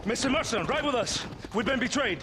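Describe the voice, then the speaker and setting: A man's voice: very high voice, expressive, fairly quick. An actor playing an urgent rebel horseman in a western video game, pressing and commanding. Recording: clear outdoor noise behind voice.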